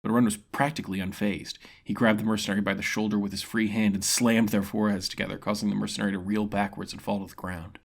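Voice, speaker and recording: A man's voice: on the low side, level tone, fast talker, gravelly, hardly any pauses. A man reading scripted fantasy narration as a storyteller.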